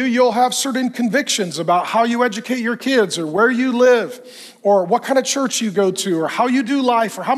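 A man preaching a sermon to a congregation, folksy and self-mocking with quick asides, while he is losing his voice and keeps clearing his throat. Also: sits high. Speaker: pastor. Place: church in front of a congregation.

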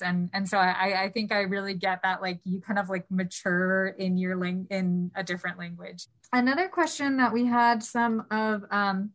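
Woman host, conversational interviewer, chatty and filler-heavy. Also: medium pitch. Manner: chatty, many fillers like "you know".

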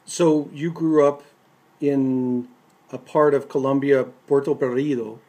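A man hosting a radio program, introducing a guest and asking measured questions. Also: medium pitch, fairly even intonation, darkish tone.

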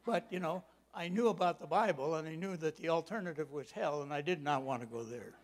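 Older man preaching with slow emphasis. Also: on the high side. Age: 80s. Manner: emphatic, stretches key words slowly, drops to quiet asides; folksy personal storytelling. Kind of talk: sermon.

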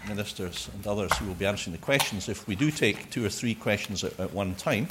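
Brief: male chair, low voice, wry and long-winded, faint hall ambience behind. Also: quick pace, noisy background. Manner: dry, wordy and jokey. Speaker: chair of forum session. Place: conference hall.

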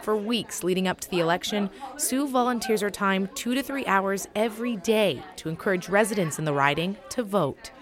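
A woman's voice: medium pitch, moderate pace, hardly any pauses. A woman narrating as a podcast host from a script.

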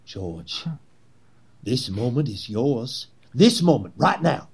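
Man playing a fervent, swooping, husky plot leader. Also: medium pitch, sing-song, heavily acted. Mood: fervent pleading. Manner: dramatic oratory, pleading, emphatic pauses. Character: fervent, theatrical Confederate plot leader, persuasive and grandiose, 1860s. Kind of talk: period stage drama acting.

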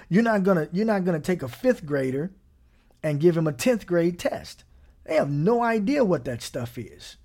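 Guy preaching a sermon, kinda high voice, chatty, keeps asking the crowd right? and drops to a whisper sometimes. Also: a little mellow. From Gary, Indiana. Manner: conversational preaching with frequent "right?" checks.

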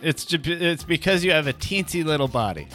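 A man's voice: a little high, animated, fairly quick, a bit bright, faint music behind. A man in his early forties co-hosting a comedy show with casual, wry, teasing banter. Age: early 40s.

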